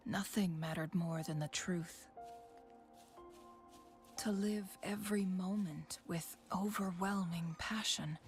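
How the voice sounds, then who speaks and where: A thoughtful, scholarly young woman for a video game. Her voice sits a little low for a woman, and she speaks slowly and deliberately, with formal phrasing and music playing behind her. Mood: solemn. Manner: measured, pensive, deliberate, formal. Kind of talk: scripted video game voice acting.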